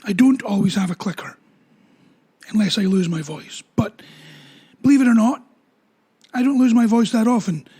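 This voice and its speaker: Husky-voiced man. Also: high voice, moderate pace, long pauses. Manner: relaxed, explains with everyday examples. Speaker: dog trainer. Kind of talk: explanatory talk to camera.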